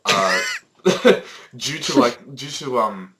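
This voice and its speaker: A man's voice: mid-range voice, animated, slow pace, very noisy. A teenage man co-hosting a casual podcast, rambling with lots of fillers and swearing freely. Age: teens. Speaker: podcast co-host. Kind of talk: casual chat. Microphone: Skype call recording.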